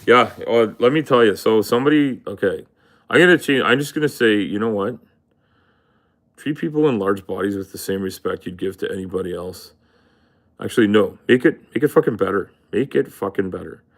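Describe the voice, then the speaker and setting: Brief: a man, a bit low voice, casual live stream host, rambling and profane. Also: lively intonation, a little fast. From Canada. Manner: rambling, profane, full of fillers. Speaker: livestream host. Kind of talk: casual livestream rant.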